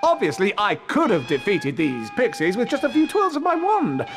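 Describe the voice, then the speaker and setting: An actor playing a vain, showy British wizard professor in a video game, pompous and self-satisfied. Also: male voice, sits high, wildly swooping pitch, normal speed, big-room sound, over music, full character voice. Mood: pompous, reassuring. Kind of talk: video game character acting.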